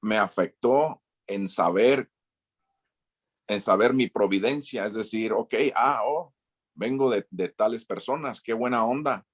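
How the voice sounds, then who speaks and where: Halting low-voiced Mexican man. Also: husky. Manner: halting, many restarts and repeated words. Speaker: guest, former missionary. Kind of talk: reflective interview answer.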